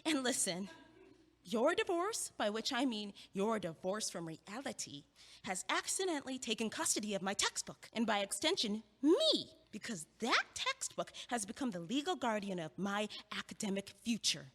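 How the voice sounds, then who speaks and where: Woman voicing a young student, sarcastic, quick-witted, high pitch, long reverb. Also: very animated, a bit bright. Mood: argumentative. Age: young adult. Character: sharp-tongued young female student, impatient. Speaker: university student. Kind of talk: audio drama acting.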